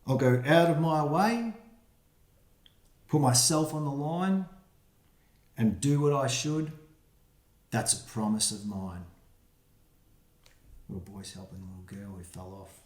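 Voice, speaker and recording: A man, the book's author, reading his rhyming picture book aloud for children, with quiet asides about the pictures. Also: mid-range voice, lively intonation, a little slow, pauses often. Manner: friendly, reads rhyming verse with quiet asides. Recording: roomy echo.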